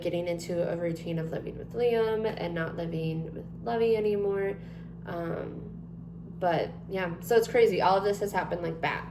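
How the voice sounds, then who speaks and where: Twenties woman, slightly low voice, relaxed rambling vlog talk; roomy echo and background hum. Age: 20s. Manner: chatty, rambling with many ums and likes. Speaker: vlogger. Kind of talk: casual chat to camera. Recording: echoey room with noticeable hum.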